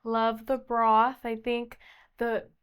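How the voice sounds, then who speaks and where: A woman's voice: on the high side, little pitch movement, slow, a bit bright, smooth. A young woman from the United States answering interview questions earnestly, often repeating "definitely" and "just". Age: young adult. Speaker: pageant candidate, interviewee.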